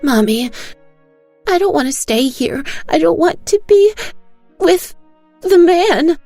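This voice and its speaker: A woman's voice: extremely high pitch, expressive, slow pace, warm tone, dry recording, voice pushed up, heavily acted. A woman voicing a frightened little girl in an audio drama, pleading and wailing to her mother over background music. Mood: pleading, tearful.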